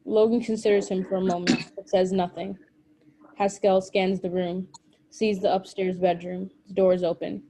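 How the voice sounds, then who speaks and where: A woman reading scripted stage directions at a table read in an even, matter-of-fact way. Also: mid-range voice, normal speed, noisy background.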